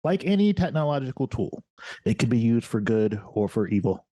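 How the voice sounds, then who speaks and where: A man in his forties from Ohio, hosting a podcast interview in a relaxed, conversational way. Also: medium pitch, moderate pace, no echo, studio quality. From Ohio, USA. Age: 40s. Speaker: podcast host. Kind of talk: casual interview.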